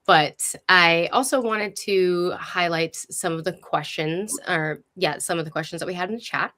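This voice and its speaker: Female host, slightly low-pitched, effusive and chatty, casual event-hosting style. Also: moderate pace, sharp tone. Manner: effusive, enthusiastic. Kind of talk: casual, conversational hosting of an online history talk.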